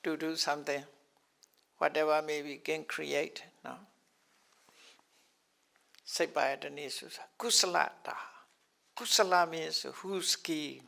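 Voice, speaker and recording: A slightly high, gravelly older man who speaks English as a second language, teaching a class. He talks very slowly, stops and repeats each term, and chuckles often. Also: steady tone, sharp tone. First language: not English. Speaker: Buddhist teacher. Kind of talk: explaining scriptural terms to a class.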